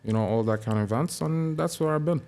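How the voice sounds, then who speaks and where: A man's voice: a little high, fast talker, gravelly. Easygoing man from Sudan, chatting. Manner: easygoing, relaxed, laughs often. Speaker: podcast guest. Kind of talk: casual chat.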